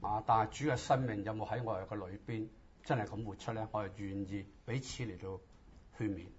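Fast, husky-voiced preacher, a man. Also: fairly low pitch, fairly even intonation. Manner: exhorting, rhetorical questions. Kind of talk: sermon in short phrases with pauses for interpretation. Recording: dull, lo-fi audio.

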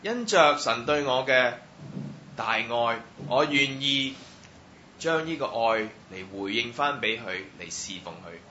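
A man interpreting a testimony line by line at church, halting with many fillers. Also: mid-range voice, lively intonation, sharp tone. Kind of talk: live sentence-by-sentence translation. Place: church hall. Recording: roomy, muffled hall sound with faint noise.

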